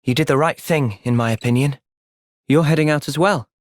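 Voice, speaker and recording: A man's voice: fairly high pitch, fairly quick, dry recording, lightly acted. Man acting an earnest young British soldier, dry studio sound. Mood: calm, earnest. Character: earnest, thoughtful young male soldier, gentle but resolute. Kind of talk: video game cutscene voice acting.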